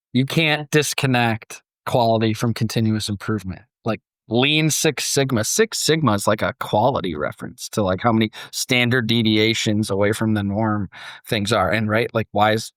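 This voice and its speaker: A man's voice: fairly low pitch, dry recording. A man hosting a podcast, moving between dramatic story narration and casual discussion.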